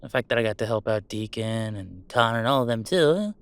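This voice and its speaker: A man's voice: lowish voice, lively intonation, a little fast, clear, resonant tone. A man voicing a playful, self-deprecating werewolf streamer in a one-sided roleplay audio drama, full of teasing banter and dramatic sarcasm. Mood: sincere, modest. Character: wisecracking young male werewolf streamer, affectionate and self-deprecating.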